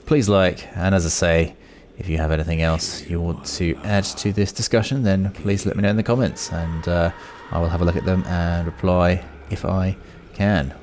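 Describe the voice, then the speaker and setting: A man's voice: low-pitched, fairly even intonation, normal speed, hardly any pauses, a little mellow. A man hosting a gaming video, talking in a casual, chatty, rambling way as he gives his commentary. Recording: noisy with clear background noise.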